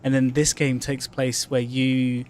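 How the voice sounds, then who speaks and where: A man's voice: mid-range voice, flat delivery, measured pace, darkish tone. A man co-hosting a live game stream in casual, rambling, sweary chat. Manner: rambling, hesitant phrasing, sweary. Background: game music.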